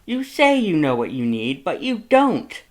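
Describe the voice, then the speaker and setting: A man voicing a loud, fussy skeleton who is the younger brother, scolding emphatically in a fan fiction audiobook reading. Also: a little high, huge pitch swings, a little slow, voice pushed up. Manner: scolding, emphatic, rising indignation.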